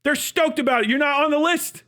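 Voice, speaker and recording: A man's voice: very high voice, brisk, pushed and bright. An American man livestreaming an unscripted rant as a commentator, blunt, sarcastic and profane, emphatic and given to acting out mock dialogue. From United States.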